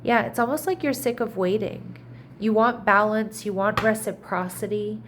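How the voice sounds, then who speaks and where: A woman with a mid-pitched, slightly congested voice reads tarot cards in a relaxed, chatty way. She reassures the listener and often restarts her sentences as she thinks out loud. A faint hiss sits behind her voice. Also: moderate pace. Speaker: tarot reader. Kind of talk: casual tarot reading to camera.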